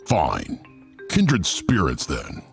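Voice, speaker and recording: A gravelly stone troll in a fantasy audio drama, low in pitch and unhurried. He is rough and insolent, with a sneering, mocking manner. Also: wildly swooping pitch, faint music behind, exaggerated voice. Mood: sardonic.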